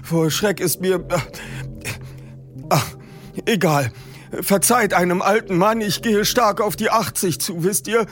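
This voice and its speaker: A man's voice: sits high, slow pace, pauses often, a bit bright, faint music behind, pitched above the performer's own voice. A man voicing a frightened elderly man of nearly eighty who stammers and gasps for breath. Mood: nervous.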